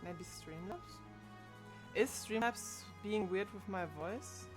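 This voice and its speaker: A woman's voice: medium pitch, slow, music in the background. Chatty young woman streamer thinking aloud. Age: 20s. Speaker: live streamer. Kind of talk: casual live-stream chat. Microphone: desk microphone.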